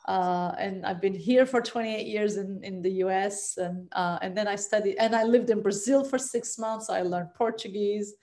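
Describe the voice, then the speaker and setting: A woman's voice: mid-range voice, normal speed, hardly any pauses, warm tone. A woman in her forties from Turkey, a native Turkish speaker with a Turkish accent, talking conversationally as an interview guest on a video call from home. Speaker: guest, trauma psychologist. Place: bright living room at home.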